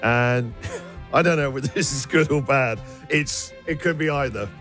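A man's voice: mid-range voice, normal speed, a bit bright, soft background music. A man hosting a radio show, trading puns and laughing at his own jokes. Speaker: radio host. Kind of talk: playful radio show banter.

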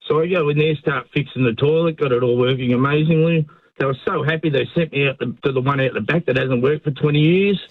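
A man's voice: a little high, fast, dry recording. Male phone-in caller, plain matter-of-fact delivery, tinny phone line. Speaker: caller, plumber. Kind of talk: phone-in anecdote.

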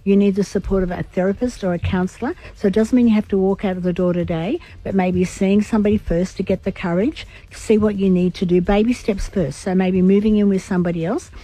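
A middle-aged Australian woman with a croaky voice, giving emphatic advice to callers as a psychic on live radio. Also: medium pitch, fairly even intonation, fast talker, few pauses, warm tone, poor audio.

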